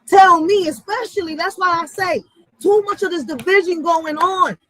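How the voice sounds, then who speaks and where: A woman's voice: very high voice, moderate pace, fairly bright tone, low-quality recording. A woman giving a heated, profane casual monologue, blunt and emphatic, using street slang and repeating phrases for stress. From Puerto Rico or Puerto Rican background. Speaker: channel host.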